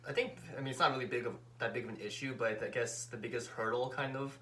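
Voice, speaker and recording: Relaxed man thinking aloud on a couple vlog. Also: medium pitch, fast. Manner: relaxed, thoughtful, hedging, searching for words. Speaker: co-host, the vlogger's fiancé. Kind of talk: casual chat.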